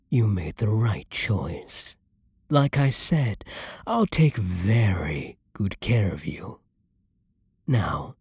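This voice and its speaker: A man voicing a centuries-old, possessive vampire lord in an ASMR roleplay, silky and commanding with a breathy voice that often sinks into whispers. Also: lowish voice, a little mellow, no room sound, studio quality, heavily acted. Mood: possessive, soothing. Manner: slow, theatrical, silky, commanding, condescending. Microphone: close studio microphone, dry.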